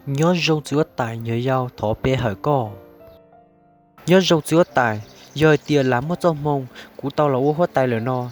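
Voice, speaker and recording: A man's voice: medium pitch, normal speed, natural voice. A man voicing a male character in a comic cartoon story. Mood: talkative. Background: music.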